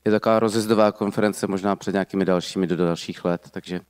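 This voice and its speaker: A man in his thirties says a few welcoming words into a handheld microphone in a large lecture hall. His voice is low, smooth and clear. He speaks plainly and stumbles now and then. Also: steady tone, a little mellow. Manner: brief, plain, slightly halting. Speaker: company co-founder. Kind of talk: short welcome remarks.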